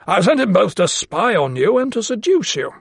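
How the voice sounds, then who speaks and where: A man's voice: high voice, sing-song, normal speed, gravelly, breathy edge, no room sound, studio quality, pitched above the performer's own voice, heavily acted. A man reading an audiobook, voicing a pompous, scheming older lawyer who speaks with patronising menace. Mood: smug. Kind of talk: audiobook character voice.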